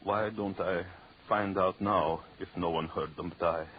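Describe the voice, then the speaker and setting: A low-pitched deranged anthropologist in a vintage radio thriller. He is gloating and tormented, repeating himself with theatrical obsession. The recording is dull and noisy. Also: fairly even intonation, a little slow. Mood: brooding, haunted. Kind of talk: old-time radio suspense acting.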